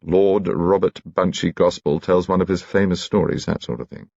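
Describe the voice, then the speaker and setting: A man's voice: sits low, steady tone, moderate pace, creaky, a little mellow, no room sound, highs cut off. A man voicing a reserved, dry-humored male detective inspector. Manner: dry, exasperated.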